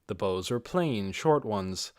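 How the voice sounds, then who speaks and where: A man reading a scripted fantasy audiobook in an expressive, dramatic storytelling manner. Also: on the low side, slow pace, no room sound, clean studio sound. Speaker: audiobook reader.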